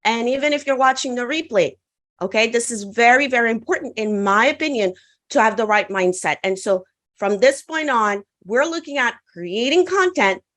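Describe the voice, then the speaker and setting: A woman's voice: on the high side, fairly bright tone. A woman from the Caribbean whose first language is French, giving an online conference talk in an upbeat coaching manner and often asking the audience to answer in the chat. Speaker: conference speaker.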